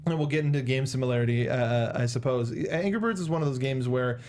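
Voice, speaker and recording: A man's voice: mid-range voice, fast, darkish tone, a little hiss or hum. Young man chatting as a playful co-host. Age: young adult. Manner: playfully sarcastic, mock-dramatic. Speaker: talk show co-host. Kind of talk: casual film review chat. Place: small indoor studio. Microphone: close desk microphone with foam windscreen, headset monitoring.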